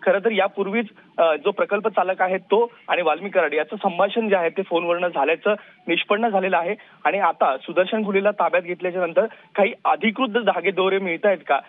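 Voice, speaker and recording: A man's voice: high voice, brisk, no echo. A man giving a live, unscripted news report, wordy and padding his sentences. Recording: tinny phone-like live link.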